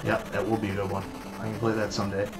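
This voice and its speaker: A man from Newfoundland streaming a retro game, chatting casually and getting frustrated and shouting at it. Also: lowish voice, monotone, rapid-fire, music in the background, low-quality recording. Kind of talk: casual live-stream chat.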